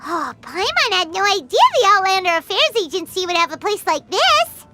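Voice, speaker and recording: A woman voicing a tiny, excitable, childlike fairy companion in a video game, exclaiming eagerly. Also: extremely high pitch, normal speed, over loud music. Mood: excited, amazed. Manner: exclaims eagerly, refers to self in third person. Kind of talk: animated video game voice acting.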